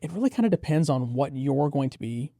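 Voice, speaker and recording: A man's voice: mid-range voice, sing-song, a little fast, gravelly, mellow, no room sound, clean studio sound. A man co-hosting a product review, explaining and giving his impressions in a conversational way. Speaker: headphone show co-host reviewer.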